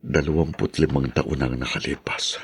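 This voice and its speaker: A man's voice: extremely low pitch, steady tone, moderate pace, over music. An actor playing an embittered, despairing man long in prison, in melodramatic radio drama style. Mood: bitter, resigned. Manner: brooding, anguished.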